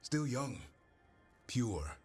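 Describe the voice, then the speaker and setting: A man playing a gruff, stoic but caring warrior and creature keeper in a video game cutscene, terse and dryly humorous. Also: medium pitch, sing-song, drawn-out words, darkish tone, exaggerated voice. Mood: reflective, uneasy. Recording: music behind.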